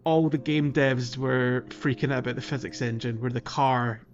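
A man's voice: mid-range voice, level tone, moderate pace, dull audio. A man co-hosting a casual video game podcast, rambling along and chuckling through his anecdotes. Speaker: podcast co-host.

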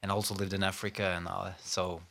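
A man's voice: sits low, little pitch movement, fast talker, creaky, pushed and bright. A man from Norway, a native Norwegian speaker, talking as a radio studio guest, hesitant with many fillers. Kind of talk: casual radio chat.

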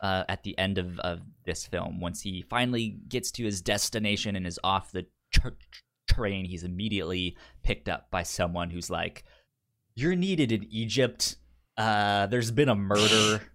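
A man co-hosting a casual film discussion, speaking haltingly and often stammering and repeating words. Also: on the low side, fairly bright tone. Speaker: film podcast co-host.

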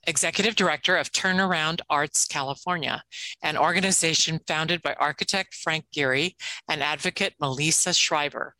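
A woman's voice: low voice, pushed and bright, dry recording, rough recording. An older woman co-hosting an interview show, reading the guest introduction with a few slips. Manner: reads the intro with small slips. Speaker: podcast co-host.

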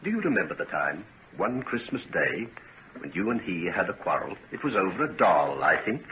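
A grave, suspicious father of middle age in a 1940s radio serial. His low voice swings widely as he issues solemn, deliberate warnings. The old recording is dull, with faint noise. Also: big pitch swings. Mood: uneasy, grave. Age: middle-aged. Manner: earnest, solemn, deliberate delivery. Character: grave, suspicious, protective father of a grown daughter. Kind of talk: 1940s radio drama acting.

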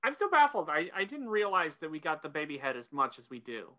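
A woman's voice: low-pitched, very animated, fast, pushed and bright. A woman co-hosting a podcast in casual discussion, hesitant and often repeating words as the thought forms. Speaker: podcast co-host.

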